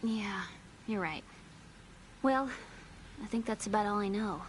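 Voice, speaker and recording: A woman's voice: medium pitch, a little slow, close to an everyday voice. An actress voicing an earnest, lonely young female computer expert in a video game, explaining things carefully. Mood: subdued, anxious. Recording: noisy game audio capture.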